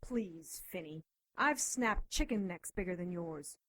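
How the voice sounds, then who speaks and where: An actress playing a tough, sardonic occultist doctor in an audio drama, commanding and quick to threaten. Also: female voice, fairly low pitch, expressive, fairly bright tone, no room sound. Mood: dry, menacing.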